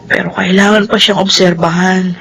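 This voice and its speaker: A woman's voice: on the low side, little pitch movement, normal speed, creaky, fairly bright tone, a little hiss or hum. An actress playing a devoted, anguished young mother in a Filipino radio drama, with a Filipino accent. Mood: weary, worried.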